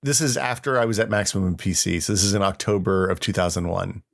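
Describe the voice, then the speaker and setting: A man's voice: low voice, fast talker, gravelly. A middle-aged man co-hosting a podcast in casual, conversational tech chat. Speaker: podcast co-host.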